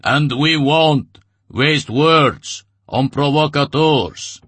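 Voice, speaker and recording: A man voicing a burly male commander who addresses a crowd in a booming, bureaucratic tone. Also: drawn-out words, a bit bright, slightly rough, dry recording, full character voice. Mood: commanding.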